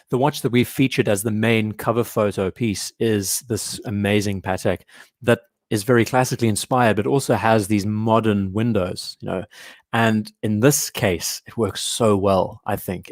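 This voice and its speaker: A young man talks casually through a live stream with a hoarse, strained voice, now and then clearing his throat. His voice sits somewhat low. The sound is close and dry. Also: normal speed, dry recording. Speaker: host of a live watch show. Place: at home.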